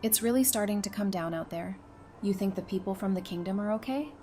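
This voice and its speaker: A woman's voice: medium pitch, brisk, warm tone, a little hiss or hum, lightly acted. A woman playing a stubborn, affectionate young mother and wife in an audio drama, casual and wry. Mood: light, wondering. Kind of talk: fan audio drama acting.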